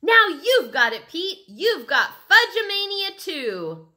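A woman voicing a silly, excitable little boy in a children's storybook. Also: on the low side, slow, heavily acted. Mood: wild, gleeful.

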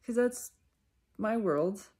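A woman's voice: mid-range voice, expressive, takes it slowly, darkish tone, voice pushed up. A woman voicing an indignant, controlling ego, pleading and bossy as it demands its drama back.

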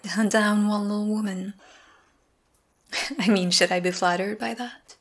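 Woman doing a young vampire girl, lovesick and teasing, whispering soft and breathy, flat tone. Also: mid-range voice, unhurried. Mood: wistful. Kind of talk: whispered ASMR roleplay.

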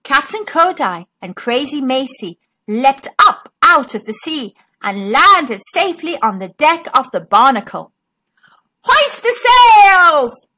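A woman narrates a picture book for young children. Her voice is high and bright, moving up and down a great deal. She reads slowly, leaning into words with dramatic emphasis. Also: very animated, slightly rough. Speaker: children's storybook narrator and channel host. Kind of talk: scripted read-aloud for young children.

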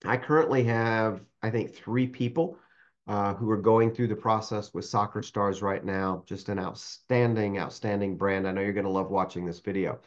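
A man's voice: fairly low pitch, fairly even intonation, normal speed, dull audio. A man presenting a franchise webinar over a video call, enthusiastic and fond of repeating his praise. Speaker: webinar host, franchise consultant. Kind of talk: upbeat sales presentation.

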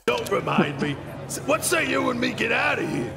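A man's voice: extremely high pitch, sing-song, normal speed, heavily acted. An actor playing a sleazy, boastful male gym teacher in a visual novel game. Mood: smug, boastful. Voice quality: creaky. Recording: music clearly behind the voice.

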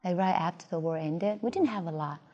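A woman with a Vietnamese accent giving reflective interview answers as an artist, thoughtful and halting. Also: fairly low pitch, warm tone, lo-fi sound. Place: large indoor museum gallery. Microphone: lapel. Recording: reverberant gallery room.

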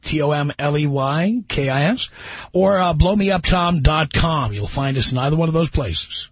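A man's voice: on the high side, moderate pace, husky, no echo. A man hosting a call-in radio talk show, joking brashly with callers and reading out the phone number. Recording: muffled broadcast radio audio.

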